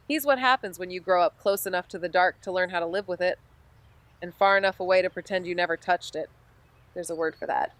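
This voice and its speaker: An actress playing a wry, determined amateur investigator in a noir audio drama, blunt and clipped in dialogue and narration. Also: female voice, fairly low pitch, no echo, lightly acted.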